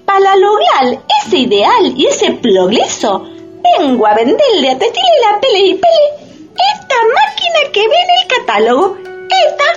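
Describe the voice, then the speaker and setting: A woman's voice: very high-pitched, huge pitch swings, normal speed, full character voice. A woman voicing a pushy, theatrical Japanese saleswoman in a satirical radio play. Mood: eager, salesy. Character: pushy Japanese saleswoman hawking robot factory machines. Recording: dull lo-fi radio drama, faint music.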